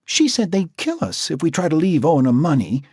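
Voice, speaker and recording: A scared teenage runaway girl, put on by a male narrator, her voice pushed high and trembling. She stammers and breaks into sobs, the tone husky and breathy. The sound is dry and close with no room around it. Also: very animated, brisk, a little mellow, dry recording. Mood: tearful. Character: frightened teenage runaway girl, tearful and jumpy.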